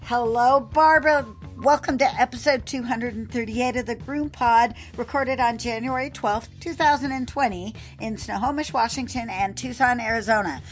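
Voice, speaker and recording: American lady in her 50s hosting a podcast, talkative and upbeat, highish voice, bit of music. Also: normal speed, few pauses, poor audio. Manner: talkative, enthusiastic. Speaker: podcast host, mobile pet groomer.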